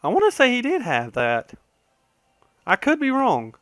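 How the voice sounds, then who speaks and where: Clear-voiced man rambling over a game. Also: very high-pitched, wildly swooping pitch, measured pace, a bit bright, no echo, studio quality. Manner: conversational, digressive, often trailing off and correcting himself. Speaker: gaming video host. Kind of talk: casual, rambling let's-play commentary.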